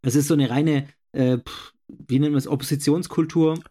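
A young man with a regional German accent co-hosting a casual podcast, agreeable, affirming often and pausing on fillers. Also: on the high side, steady tone, dark tone. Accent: regional German, not standard. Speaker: podcast co-host. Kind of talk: casual debate chat.

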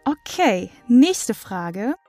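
Young woman asking interview questions, highish voice, playful and informal, soft music underneath. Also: sing-song, very slow, dry recording. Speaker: interviewer, host. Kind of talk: casual scripted interview questions.

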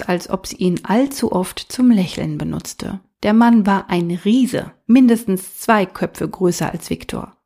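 A woman narrating a children's novel as an audiobook, with a calm, even storytelling delivery. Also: medium pitch.

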